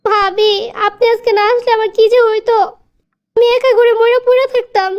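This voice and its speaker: A woman's voice: very high voice, steady tone, normal speed, highs cut off. A woman voicing a stubborn young wife in a cartoon family comedy, complaining and repetitive, with a Bangladeshi Bengali accent. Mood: tearful, apologetic. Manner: complaining, repeats words. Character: quarrelsome, stubborn young wife and sister-in-law.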